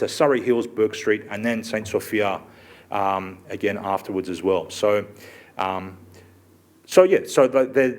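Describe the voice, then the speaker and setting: A middle-aged Australian man giving a public lecture, halting with many fillers and restarts. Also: on the low side. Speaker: guest speaker.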